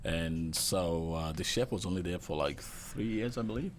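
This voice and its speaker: A man in his fifties with a Nigerian accent, a guest chef telling relaxed stories full of fillers. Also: sits low, normal speed, slight background noise. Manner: relaxed, chuckling anecdotes with many fillers. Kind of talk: casual interview storytelling.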